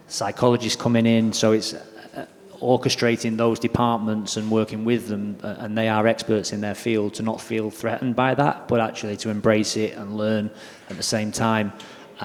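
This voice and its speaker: A man on a conference panel, thinking aloud with hesitant pauses. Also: fairly low pitch. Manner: thoughtful, hesitant with fillers. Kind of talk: panel discussion. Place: conference hall. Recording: reverberant hall with faint noise.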